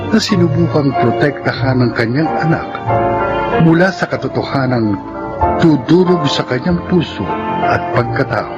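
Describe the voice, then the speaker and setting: A man's voice: mid-range voice, expressive, normal speed, lightly acted. A man with a Filipino accent gives a dramatic scripted introduction as a programme narrator. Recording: dull audio under loud music.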